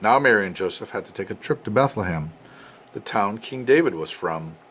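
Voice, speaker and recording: An older man, a grandfather, reads a storybook aloud to his family expressively, with dramatic pauses and emphasis. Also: on the low side, animated, a bit bright, husky. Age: 60s or older. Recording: noisy amateur home recording.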